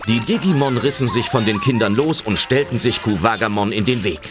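A man's voice: mid-range voice, expressive, fairly quick, natural voice. A man as the dramatic storyteller of a German children's adventure audio drama, reading scripted narration. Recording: loud music under the narration.

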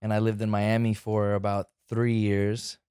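Low-voiced man, halting casual talk. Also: monotone, dark tone, smooth, no echo, clean studio sound. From San Francisco Bay Area. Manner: hesitant, many fillers and restarts. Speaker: guest actor. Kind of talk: casual interview chat. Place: living room with sofa. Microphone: studio mic on arm.

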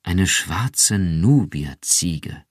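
A man's voice: low voice, lively intonation, extremely slow, dry recording, clean studio sound, exaggerated voice. A man voicing an earnest young wife who pleads insistently and fervently. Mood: tender.

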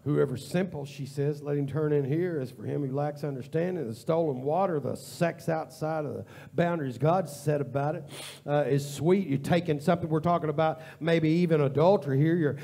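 A male pastor preaching in a church, with a high voice and a gravelly edge to it. His delivery is informal and repetitive. He swings from impassioned, near-shouted pleading to hushed prayer. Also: fairly quick. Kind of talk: sermon. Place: church sanctuary.

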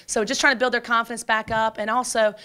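A woman in her forties, a basketball coach, talking quickly and energetically at a postgame press conference, with many fillers and restarts, into a table microphone. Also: a little high, level tone, sharp tone, smooth. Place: press room before a sponsor backdrop. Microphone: table microphone in front.

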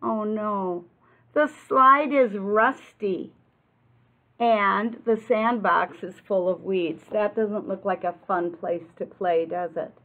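Older American woman, unhurried expressive children's read-aloud, teacherly asides, dull lo-fi recording. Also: medium pitch. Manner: expressive, deliberate, asks listeners tag questions. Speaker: teacher reading a picture book aloud. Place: classroom, indoors. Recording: muffled, lo-fi webcam-like sound.

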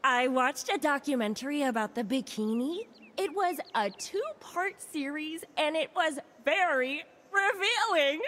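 Very high, perky young game heroine. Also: female voice, expressive, measured pace, a bit bright. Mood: playful, joking. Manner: perky, exaggerated, playful valley-girl sass. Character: bubbly, sassy beach-loving young fire goddess. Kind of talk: video game character voice lines.

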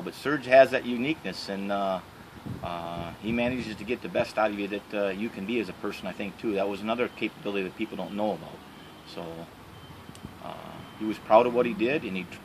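A middle-aged man with a Michigan accent, answering interview questions outdoors in a halting way with many fillers. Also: on the low side, background noise. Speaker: interviewee, canoe racer. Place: outdoors by trees and a house.

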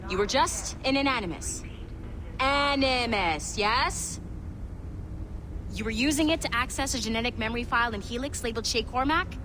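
A woman's voice: a little high, normal speed, a crowd in the background, natural voice. An actress playing a blunt, wry female security contractor in a video game cutscene. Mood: brisk. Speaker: game character.

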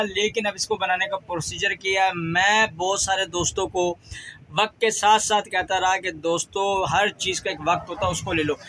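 A man's voice: sits high, sharp tone, poor audio. A Pakistani-accented man giving casual instructional talk to viewers as a channel host, repeating phrases for emphasis. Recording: clear background noise.

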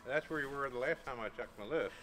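Bright-voiced old played by a man. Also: mid-range voice, fast, close to an everyday voice. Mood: friendly, businesslike. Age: older. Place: inside a large party store. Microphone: camera a few feet away.

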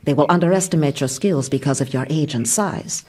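A woman playing a stern martial arts master in a comic audio drama, husky, commanding and haughty. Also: deep voice, brisk, dark tone. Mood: cold, commanding.

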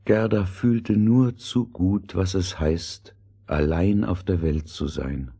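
A man's voice: low-pitched, slow, creaky, dark tone, breathy edge. A man narrating a fairy tale in a scripted, storytelling style.

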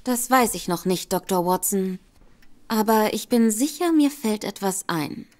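A woman's voice: mid-range voice, expressive, measured pace, darkish tone, soft background music, natural voice. A woman playing a poised, persuasive woman detective in a radio drama. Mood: determined. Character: sharp, determined woman investigator.

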